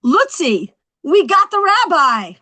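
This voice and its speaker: An actress playing a brash, quick-witted young wife in a scripted comedy table read. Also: female voice, high voice, sing-song, sharp tone, exaggerated voice. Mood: triumphant, cheeky.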